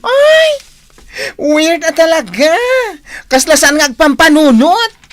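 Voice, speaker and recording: A woman's voice: high-pitched, big pitch swings, slow, full character voice. A woman acting a complaining young student in a radio drama, with an Ilocano accent. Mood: exasperated.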